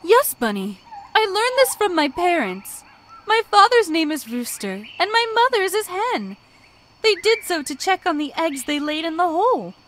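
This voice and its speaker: Woman voicing a lively, high young female ostrich. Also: normal speed, slight background noise, heavily acted. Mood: confident, explaining. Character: young female ostrich, friendly, proud, earnest.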